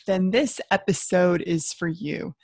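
A woman's voice: fairly low pitch, unhurried, a little mellow, no room sound. A woman hosts a podcast interview in an upbeat, chatty way, with plenty of "um"s and "uh"s. The recording is dry and close, with no room sound. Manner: enthusiastic, chatty with fillers. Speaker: podcast host.

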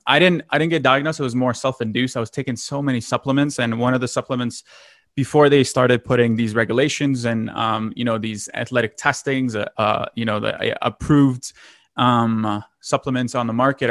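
A man's voice: medium pitch, a bit bright, dry recording. Rambling man from Lithuania, dry sound. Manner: rambling, many fillers and restarts. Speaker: guest. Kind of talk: casual conversation.

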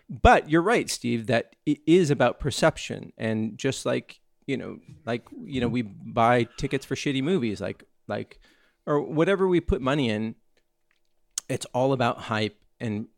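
A man hosting a casual podcast, talking in loose, rambling sentences full of fillers and restarts. His voice is mid-pitched and sits dry and close on the mic. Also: no echo. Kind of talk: casual chat.

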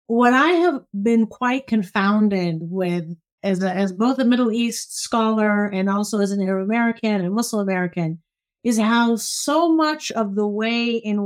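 A woman from Egypt, a law professor giving measured, emphatic explanations as an interview guest. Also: medium pitch.

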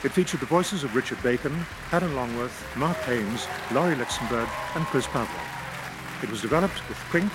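A man reading formal radio announcements in a measured, solemn newsreader tone. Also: mid-range voice, expressive, over a noisy crowd. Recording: live audience and noise.

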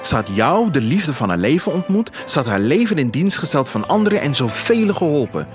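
Man playing an insistent, animated therapist, raised pitch. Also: a little fast, over music. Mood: earnest, persuasive. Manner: emphatic, persuasive. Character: insistent, reasoning male therapist.

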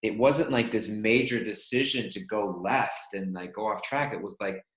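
A man's voice: on the low side, moderate pace, reverberant. A man chatting casually and questioning himself, with lots of fillers and restarts. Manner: animated self-questioning, many likes and restarts. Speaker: group member. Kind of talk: casual video-call chat.

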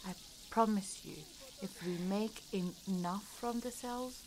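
A woman's voice: medium pitch, a little slow, noisy background, close to an everyday voice. An actress with a Senegalese accent playing a weary, worried, devout mother and grandmother in an audio drama. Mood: gently reassuring. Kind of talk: radio drama acting.

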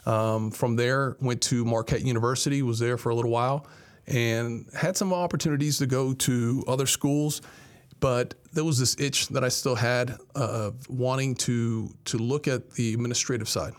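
Middle-aged man from Miami, mid-pitched. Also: steady tone, normal speed. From Miami, Florida, United States. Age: 40s. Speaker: college athletics director, podcast guest. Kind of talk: conversational interview answers, storytelling.

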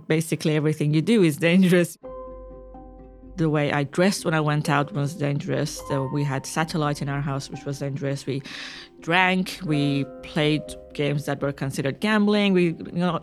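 A young woman from Iran telling her own story in a relaxed, chatty way, with fillers and small laughs. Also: deep voice, normal speed, faint music behind. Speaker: interviewee. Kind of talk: personal storytelling interview.

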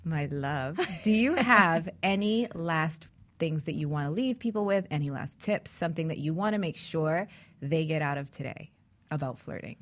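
A woman's voice: deep voice, animated, moderate pace. A middle-aged woman hosting a talk show, chatting and telling stories casually and affectionately.

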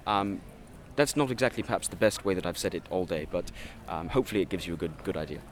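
A man acting as a posh British naval captain of noble birth, with a fairly low voice. He speaks in an affected Received Pronunciation, hesitating often but carefully enunciating his words, as a living-history character. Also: animated, quick pace, a little hiss or hum. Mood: earnest, explanatory. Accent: put-on British Received Pronunciation.

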